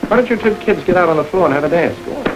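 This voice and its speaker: An actor playing a smooth, calculating middle-aged gang boss who gives orders in a calm, measured way, in 1930s crime film style. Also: male voice, high-pitched, music in the background, rough recording.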